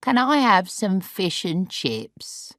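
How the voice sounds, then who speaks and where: A fussy, forgetful grandmother of eighty, voiced by a woman in an audiobook story. She talks in a slow, demanding, suspicious way. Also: mid-range voice, big pitch swings, fairly bright tone, no echo, clean studio sound, voice pushed up. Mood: insistent. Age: 80s.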